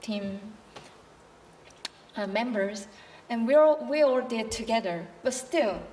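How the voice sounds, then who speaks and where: A woman who is not a native English speaker talks slowly and carefully, restarting her sentences as she searches for words. Her voice sits a little high and comes from some distance off the mic. A faint hum of room noise lies beneath her. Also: dark tone. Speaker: panelist. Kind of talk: panel discussion answer.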